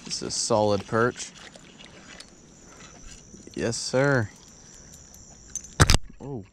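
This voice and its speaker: A man's voice: lowish voice, very slow. A young man vlogging while he fishes from a dock, explaining in a loose, easygoing way with lots of "like" and "sorta". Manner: laid-back, loose with fillers like "sorta" and "like". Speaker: fishing vlogger. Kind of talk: casual narration while fishing. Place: outdoors on a dock by the water, evening into night. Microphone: head-mounted action camera. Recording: outdoor noise, insects and crickets.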